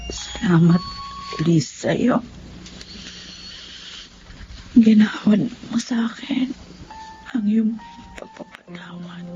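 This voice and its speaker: A woman playing a weak, tearful, repentant dying mother in a Filipino radio drama, speaking in halting, breathy phrases. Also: mid-range voice, close to an everyday voice. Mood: weak, remorseful. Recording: dull lo-fi audio under loud music.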